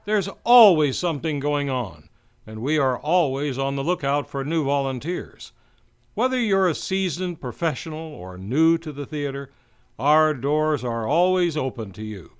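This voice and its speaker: A man's voice: fairly high pitch, animated, unhurried, clean tone. A man reading a scripted promotional announcement. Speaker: promo announcer for a community theatre.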